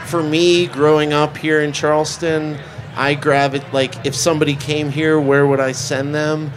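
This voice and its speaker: A man's voice: a little high, little pitch movement, normal speed, slight background noise, rough recording. A man co-hosting a podcast, chatting casually in a laid-back, joking way full of fillers. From born in Connecticut. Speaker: podcast co-host. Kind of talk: casual chat.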